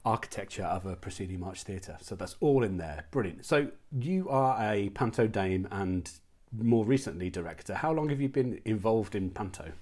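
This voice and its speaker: Guy hosting an online interview, lowish voice, casual and keen. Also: animated, moderate pace, a little mellow. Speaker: interviewer and channel host. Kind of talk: interview, casual and enthusiastic presenting.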